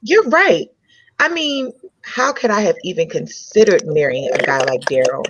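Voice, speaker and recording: A woman's voice: mid-range voice, lively intonation, moderate pace, a bit bright, slight background noise, natural voice. A woman playing a frank, independent young woman who speaks candidly and conversationally in a comedy table read. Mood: frustrated, confiding. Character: frank, independent young American woman, restaurant owner's daughter. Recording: dull lo-fi microphone sound.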